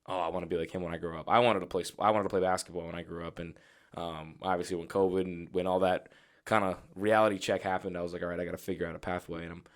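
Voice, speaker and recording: Young guy hosting a podcast, talks quick and a bit low, chatty and easygoing. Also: little pitch movement. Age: 20s. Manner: loose and chatty, many "like" fillers. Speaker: podcast host. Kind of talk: casual interview chat.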